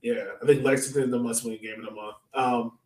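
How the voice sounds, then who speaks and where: Roomy, slightly distant male podcast co-host, mid-pitched, informal and slangy, trading sports opinions. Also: fairly even intonation, fast, darkish tone. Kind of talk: casual soccer banter and questions. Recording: echoey room, off the mic.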